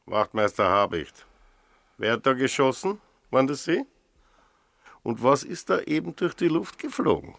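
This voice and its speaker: Gravelly, wry police sergeant. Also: male voice, medium pitch, lively intonation, normal speed, long pauses, a bit bright, no echo. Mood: sharp, questioning. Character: wry, suspicious male village police sergeant. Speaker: actor in radio drama. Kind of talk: radio drama acting.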